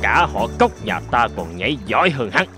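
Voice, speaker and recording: An envious little cartoon pond creature, played by a man grumbles with scorn. The pitch moves around a lot, and music plays clearly behind. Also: very animated, moderate pace, lightly acted. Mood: scornful. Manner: sneering, grumbling. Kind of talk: cartoon fable dialogue.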